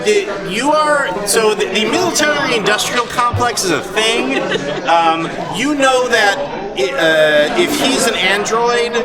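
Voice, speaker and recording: A man acting as game master, explaining rules and story in a halting way at a live tabletop game. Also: high voice. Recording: distant mic, noisy convention hall.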